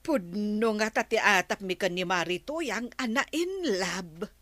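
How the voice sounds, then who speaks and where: An actress playing a nosy, teasing village wife in an Ilocano radio comedy drama. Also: female voice, medium pitch, expressive, unhurried, fairly bright tone, no room sound.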